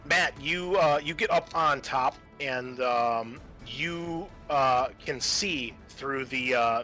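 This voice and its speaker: Male game master, bright mid-pitched voice, off-the-cuff horror narration with hesitations, light music underneath. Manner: halting, casual, profane.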